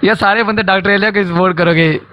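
A man's voice: high-pitched, fairly even intonation, fast talker, muffled recording, a little hiss or hum. A young man on a headset hosting a casual live stream video call, thanking and urging viewers to follow. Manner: pleading with viewers, repeating thanks. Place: indoor room, video call.

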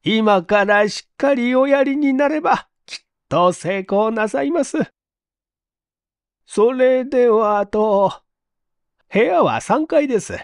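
A man's voice: very high-pitched, expressive, takes it slowly, long pauses, dry recording, clean studio sound, voice pushed up, heavily acted. A man voicing a kindly, polite elderly landlady in a Japanese audio story. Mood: polite, chatty. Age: older.

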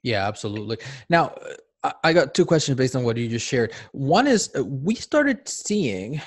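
A man's voice: medium pitch, sing-song, normal speed, dry recording. Mexican-accented man hosting, dry sound. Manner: chatty, with repeated restarts. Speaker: podcast host. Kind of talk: interview on a video call. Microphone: microphone on a stand.

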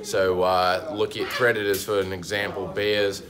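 Joking low-voiced teenage boy in class. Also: little pitch movement, normal speed, music in the background. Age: teens. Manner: loose, joking, casual filler words. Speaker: student presenter. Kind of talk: joking school project video.

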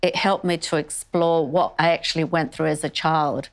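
Older female artist, low-pitched and fairly flat, reflective interview delivery, candid and explanatory. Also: moderate pace. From born in northern Greece, moved to Australia as a child. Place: indoor wood-panelled art studio. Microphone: lapel mic.

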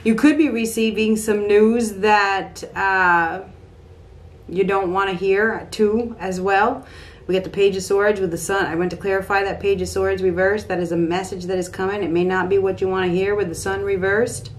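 A middle-aged woman giving an improvised tarot reading to camera in a small room at home, halting and repeating phrases as she thinks aloud. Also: mid-range voice. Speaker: tarot card reader. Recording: clear steady background room noise.

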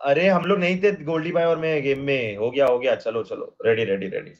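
A man's voice: medium pitch, a little fast, highs cut off. A young man with an Indian accent bantering casually as a teammate in a game voice chat, with faint noise on the line. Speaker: gaming teammate.

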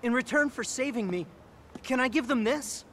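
Very high, flustered teenage boy. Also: normal speed, a bit bright, slight background noise. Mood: exasperated. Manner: exasperated, protesting, youthful. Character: earnest, flustered, excitable teenage little brother. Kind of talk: animated video game cutscene acting.

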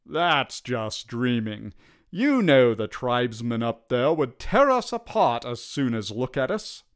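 A man's voice: medium pitch, big pitch swings, slow, clear, resonant tone. Guy reading as sceptical sensible british soldier, bit dour, adventure storybook. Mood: scornful.

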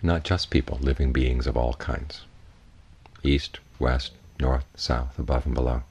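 A man teaching in a guided meditation, giving measured, unhurried instructions with long pauses. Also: very deep voice, fairly even intonation, a little mellow, slightly rough. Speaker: Buddhist monk, meditation teacher.

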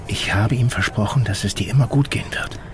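Audio drama: grave middle-aged guardian, played by an actor, low, controlled, faint vehicle noise. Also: male voice, fairly quick, natural voice. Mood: earnest, urging. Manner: terse, controlled, low-key. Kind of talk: naturalistic audio drama acting.

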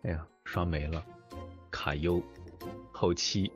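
Slow, low-voiced man, halting delivery. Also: fairly even intonation. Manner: hesitant, halting. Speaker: interview host. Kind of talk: casual hosting, reading out credits and questions. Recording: dull lo-fi voice chat with music.

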